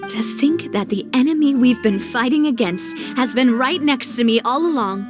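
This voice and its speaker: A woman's voice: high voice, lively intonation, moderate pace. A woman playing a composed, earnest young crime family heiress in a video game cutscene, polite and pensive in her delivery. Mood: troubled, reflective. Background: background music.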